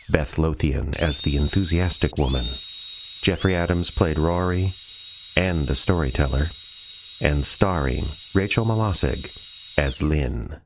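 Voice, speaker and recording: Deep-voiced man reading podcast credits. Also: noisy background. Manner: earnest, friendly appeal. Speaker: podcast announcer. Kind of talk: scripted announcement.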